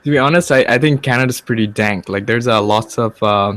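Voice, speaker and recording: A young man as a guest in a casual chat, talking haltingly, repeating words as he searches, swearing casually. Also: mid-range voice, fairly bright tone, no echo.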